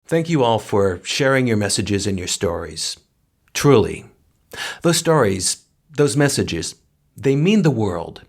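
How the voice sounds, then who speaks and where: A man's voice: lowish voice, gravelly, slightly rough. Earnest man reading memorial tributes. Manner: earnest, solemn. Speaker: podcast co-host reading listener tributes. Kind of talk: read-aloud memorial tribute.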